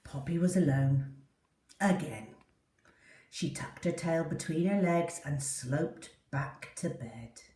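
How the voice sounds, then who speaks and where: A woman reading a children's story aloud in an expressive, deliberate way for young listeners. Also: low voice. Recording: roomy echo, distant mic.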